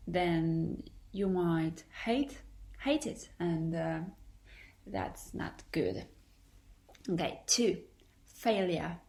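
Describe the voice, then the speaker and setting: A young adult woman with a Polish accent, an English teacher talking through her solo podcast in a careful, earnest and slightly formal way. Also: fairly low pitch.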